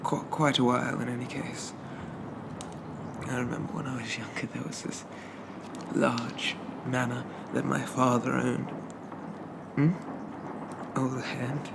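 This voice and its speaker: A man playing a shy, polite undead zombie in a soft ASMR roleplay, stammering and hesitant in a gently formal way. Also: mid-range voice, flat delivery, unhurried, creaky, long pauses, noisy background. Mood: timid, reassuring.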